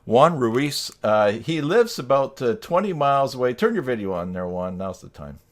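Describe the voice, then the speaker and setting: A man's voice: mid-range voice, very animated, moderate pace. A man hosting a tutorial live stream in a casual, folksy, joking and meandering way, speaking into a headset microphone.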